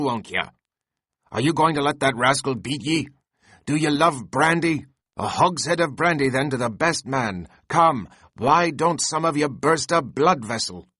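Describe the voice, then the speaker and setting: A hearty, booming whaling mate rallies his oarsmen with loud, exhorting cries in a storybook reading, as a man puts him on. His voice sits higher and brighter than a plain telling, and it sounds dry and close. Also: dry recording, heavily acted. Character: jovial, boisterous male whaling mate urging his crew.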